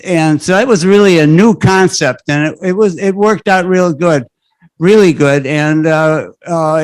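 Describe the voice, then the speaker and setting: A man's voice: on the high side, clean tone, dry recording. An older man, a retired school principal, speaking at a community panel on a handheld mic, rambling with frequent restarts. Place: library event room. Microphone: handheld microphone.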